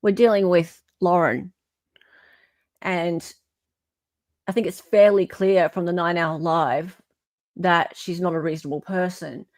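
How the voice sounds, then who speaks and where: A woman's voice: fairly low pitch, steady tone, measured pace, pauses often, a little mellow, dry recording. Wry woman, close dry sound. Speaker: co-host reading and commenting on a legal filing. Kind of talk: informal read-aloud with wry commentary.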